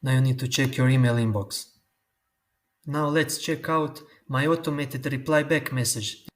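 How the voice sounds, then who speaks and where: Man explaining software steps. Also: mid-range voice, fairly even intonation. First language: Croatian. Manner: slow, step-by-step instructions. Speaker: tutorial host. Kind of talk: screen-recorded software tutorial.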